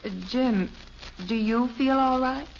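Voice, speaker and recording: An actress playing a gentle, cautious middle-aged suburban mother in an old radio sitcom. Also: high voice, fairly even intonation, takes it slowly. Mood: gentle, composed. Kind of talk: 1950s radio sitcom acting. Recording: muffled old radio recording, faint noise.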